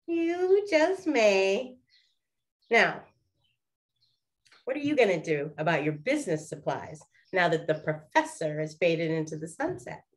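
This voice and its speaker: A woman playing a brisk, excitable lady detective of the 1920s in a radio play. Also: on the low side. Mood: chatty.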